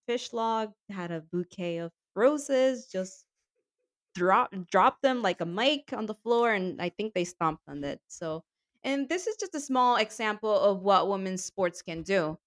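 A woman co-hosting a podcast in casual chat, enthusiastic and laughing often, her voice a little raspy from yelling. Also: mid-range voice. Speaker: podcast co-host. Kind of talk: casual chat about women's soccer.

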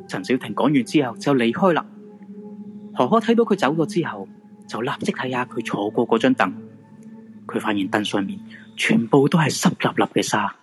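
Male narrator, medium pitch, Hong Kong Cantonese, scripted radio drama storytelling, faint music behind. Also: animated, moderate pace.

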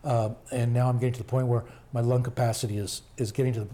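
An older man with a hoarse voice, talking slowly with many fillers in a casual family interview. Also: mid-range voice, little pitch movement, warm tone. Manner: slow, halting, many fillers. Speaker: grandfather being interviewed.

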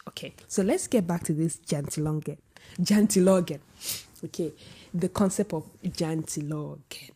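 A woman with a husky voice giving an opinionated vlog monologue, often restarting sentences and rising into emphatic outbursts. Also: fairly low pitch, warm tone. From Sweden. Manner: many restarts and filler words. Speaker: vlogger. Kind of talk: casual opinion monologue to camera.

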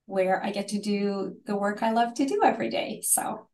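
A woman's voice: medium pitch, moderate pace, darkish tone, roomy sound. A middle-aged woman from Bosnia, a health and nutrition coach, telling her career story in an interview.

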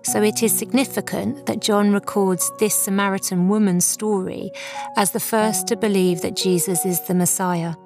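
A woman with a mid-pitched voice presents a scripted devotional. She speaks in a measured, reverent, earnest way, with music clearly playing behind her. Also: steady tone. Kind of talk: scripted devotional commentary and prayer.

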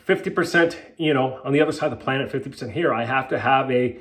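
A man's voice: medium pitch, fast talker, a bit bright. A man hosting his own podcast as a health coach, talking candidly and emphatically with fillers and the odd swear, a little sniffly from a cold. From Canada. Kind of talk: casual solo podcast monologue.

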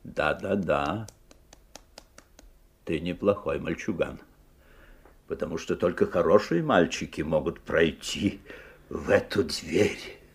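A man's voice: sits low, animated, takes it slowly, pauses often, slightly rough, heavily acted. An actor playing an uncanny, teasing magic-shop salesman in a radio play, with a courteous, ingratiating, theatrical delivery. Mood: smug, teasing.

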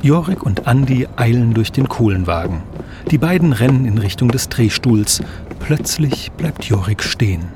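A man's voice: medium pitch, expressive, moderate pace, background noise. A man reading scripted narration for an audio play. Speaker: narrator and sound designer.

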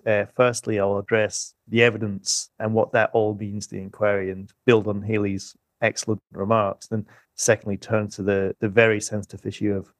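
A man's voice: on the low side, no echo. A man with a British accent explaining his argument as an economist guest on a podcast, emphatic and rhetorical, laying out structured points.

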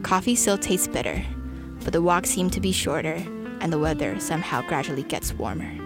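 A woman's voice: low voice, lively intonation, a little fast, loud music behind the voice. A young adult woman from China telling personal stories casually as an interview guest.

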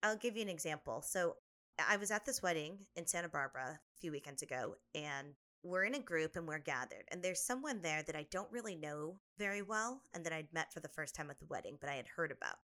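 Young female podcast co-host, slightly low pitch, bright tone, earnest reflective storyteller. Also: lively intonation, fairly quick. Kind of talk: casual podcast chat.

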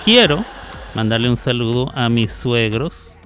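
A man's voice: mid-range voice, very animated, unhurried, a bit bright, faint music behind. A man from Mexico hosting a casual live radio show, chatty and playful, often laughing between remarks, with a northern Mexican accent. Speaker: radio host.

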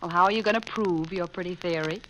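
A woman's voice: lowish voice, quick pace, fairly bright tone, lightly acted. An American actress playing a sensible, skeptical newspaperwoman in an old-time radio drama, with a dry, matter-of-fact delivery. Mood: dry, teasing. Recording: noisy old radio broadcast recording.

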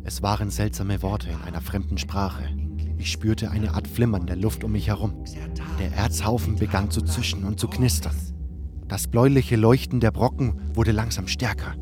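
A man with a low voice narrates a fantasy tale as a scripted audiobook. His delivery is measured and dramatic, with music clearly playing behind him. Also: steady tone. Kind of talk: scripted fantasy story narration in first person.

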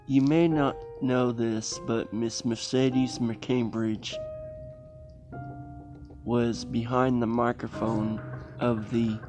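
A man hosting a podcast, reading a scripted introduction in deliberate, halting phrases. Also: mid-range voice, fairly even intonation. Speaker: podcast host. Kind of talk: scripted introduction of old radio plays. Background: music.

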